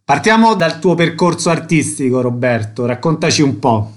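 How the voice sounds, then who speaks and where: A man's voice: fairly high pitch, animated, normal speed. A man hosting on radio, reading announcements and putting short interview questions.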